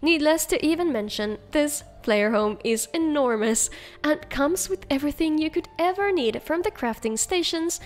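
A woman's voice: sits high, animated, moderate pace. A woman hosting a game mod showcase, reading upbeat scripted narration as a voice-over. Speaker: video host, game mod reviewer.

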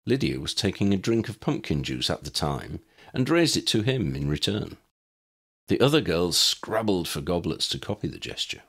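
Deep, husky male storyteller. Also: sing-song, normal speed, a bit bright. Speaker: audiobook narrator. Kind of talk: scripted storybook narration.

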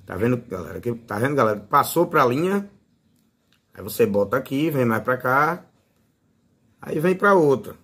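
A man's voice: mid-range voice, sing-song, normal speed, pauses often, fairly bright tone, slightly rough. A man with a Northeastern Brazilian accent giving a casual, informal hands-on tutorial as a fishing channel host. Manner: informal, fond of diminutives.

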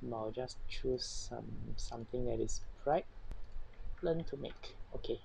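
A man's voice: mid-range voice, gravelly, darkish tone, a little hiss or hum, poor audio. A young man in his twenties with a Singaporean accent, vlogging to his phone in a cluttered home workshop. He thinks aloud in a self-conscious way. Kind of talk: casual self-filmed vlog. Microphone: phone camera with clip mic.

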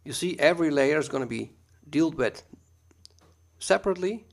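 A Dutch man with a Dutch accent, teaching a screen-recorded software tutorial in a relaxed, chatty way full of ums and uhs, recorded at a computer mic. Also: fairly high pitch, moderate pace, gravelly.

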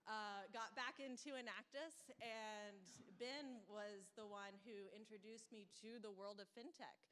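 Young woman moderator, handheld mic, quick and upbeat, lecture room. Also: medium pitch, fairly even intonation, a bit bright. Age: young adult. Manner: brisk, upbeat, lots of fillers. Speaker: panel moderator. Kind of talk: moderating a panel. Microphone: handheld microphone.

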